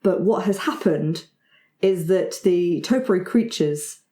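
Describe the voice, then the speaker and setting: A woman narrating a tabletop roleplaying game as game master, improvising her descriptions in a halting way. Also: on the low side, lively intonation, mellow. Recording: echoey room sound.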